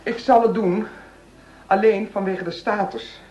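Actress as nervous, sardonic middle-aged wife. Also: female voice, mid-range voice, steady tone, a little hiss or hum, close to an everyday voice. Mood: sardonic, anxious. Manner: flustered, halting, sardonic. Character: nervous, cynical, status-hungry wife of a police chief. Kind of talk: Dutch radio play acting. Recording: dull lo-fi radio play recording.

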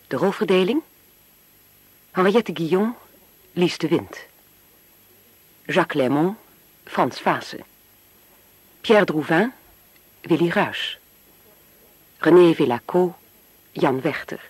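Casting: male credits announcer, fairly high pitch, very slow and measured, dry close sound. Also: expressive, slightly rough, dry recording, poor audio. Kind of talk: scripted radio credits reading.

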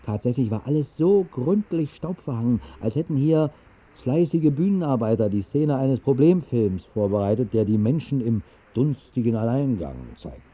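An actor narrating a radio drama as a wistful storyteller, measured and deadpan in a literary style. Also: male voice, medium pitch, close to an everyday voice. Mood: deadpan storytelling. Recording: muffled band-limited old radio recording.

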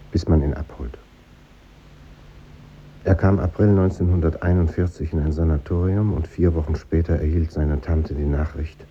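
An actor playing a middle-aged former pupil, narrating in a measured, melancholy way in a 1950s German radio play. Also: male voice, extremely low pitch, dark tone. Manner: measured, pensive. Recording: dull, noisy 1950s radio recording.

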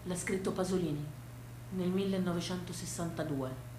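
A woman's voice: lowish voice, fairly even intonation, moderate pace. A woman performing a theatrical narrative monologue, dramatic and emphatic. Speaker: actress and storyteller. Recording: very echoey room, faint noise.

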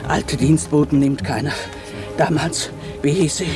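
An actress playing a pious, guilt-ridden old maidservant, halting and deferential, in a radio play. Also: female voice, sits low, steady tone, over music. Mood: brooding. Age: older.

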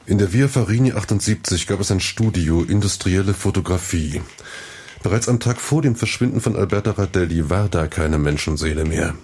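An actor playing a terse, factual investigator reporting findings in a radio play. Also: male voice, sits low, brisk, a little mellow, husky.

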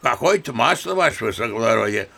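Creaky, animated old general. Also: male voice, medium pitch, full character voice. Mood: amused, animated. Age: elderly. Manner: sighing, chuckling, unhurried storyteller with old-fashioned turns. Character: genial old Russian general reminiscing to his granddaughters. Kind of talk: radio play acting.

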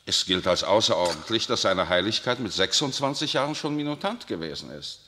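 An actor playing an urbane, worldly papal nuncio in a 1960s radio drama, speaking with a suave, ironic, lecturing manner. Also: male voice, lowish voice, lively intonation, fairly quick, bright, forceful tone.

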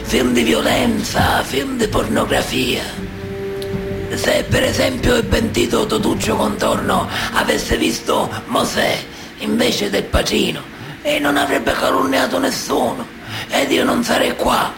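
Creaky, high-strained Sicilian mafia boss. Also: male voice, lively intonation, measured pace, heavily acted. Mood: sanctimonious grievance. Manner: declamatory, sanctimonious, self-pitying. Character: aggrieved, pious old male mafia boss protesting innocence. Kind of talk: theatrical radio monologue. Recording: roomy echo, music behind.

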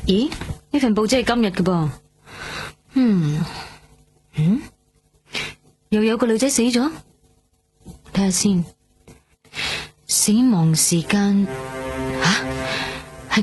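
A woman's voice: medium pitch, animated, slow, long pauses, a little mellow. A woman with a Hong Kong Cantonese accent playing an uneasy young woman in a radio drama, often thinking aloud. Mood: puzzled, uneasy. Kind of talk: radio drama acting, murmured monologue to self. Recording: music bed under the voice.

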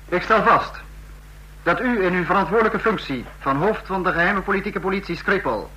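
Fascist leader in radio drama: higher-set, bright voice, formal, commanding, measured. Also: male voice, low-quality recording. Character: stern male dictator of a fascist state. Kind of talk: radio play acting.

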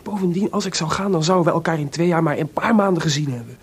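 An actor playing a proud, jealous composer with a sarcastic, theatrical delivery in a radio play. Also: male voice, on the high side, fast talker, slightly rough. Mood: defensive, agitated. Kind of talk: radio drama acting.

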